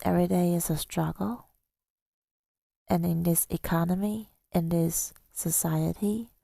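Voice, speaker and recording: Soft, very creaky woman speaking ASMR. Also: lowish voice, no echo. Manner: gentle, unhurried, partly whispered. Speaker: ASMR audio creator. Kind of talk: soft-spoken ASMR storytelling. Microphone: close microphone.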